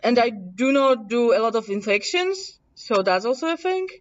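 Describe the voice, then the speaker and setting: Woman, mild polish accent, fairly high voice, chatty workshop presenter, distant dull recording. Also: animated, normal speed. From Poland. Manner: chatty, self-correcting, thinking aloud. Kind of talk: casual teaching while demonstrating software.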